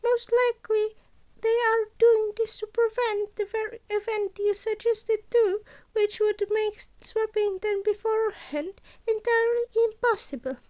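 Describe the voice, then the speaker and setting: A woman's voice: very high voice, steady tone, measured pace, darkish tone, voice pushed up. A woman voicing a polite, scheming animal school principal in a story, speaking formally and precisely as if lecturing. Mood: agreeable, explaining. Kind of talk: scripted story character voice.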